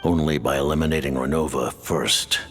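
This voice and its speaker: A deep gravelly older commander. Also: male voice, steady tone, fairly quick, a bit bright. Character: stern older male commander of a secret order. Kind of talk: video game cutscene voice acting. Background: game score music.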